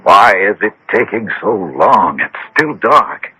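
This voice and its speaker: An actor playing a headstrong, agitated gentleman in a radio drama, speaking insistently with dramatic, halting exclamations. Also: on the low side, very animated. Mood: shaken, insistent. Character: headstrong, agitated gentleman demanding to know his fate. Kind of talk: 1970s-80s radio drama acting. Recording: old radio broadcast, faint noise.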